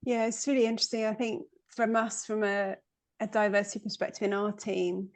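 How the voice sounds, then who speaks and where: A woman's voice: medium pitch, level tone, brisk. Reflective woman moderator. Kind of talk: panel discussion.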